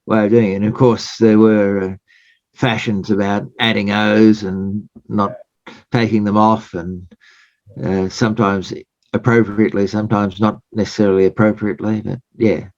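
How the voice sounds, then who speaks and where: An Australian man answers interview questions in a low voice, measured and hesitant, filling his pauses with uhs. The sound is dry and close, with a muffled, lo-fi quality. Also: level tone, a little mellow, no echo. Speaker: guest. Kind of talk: casual interview chat.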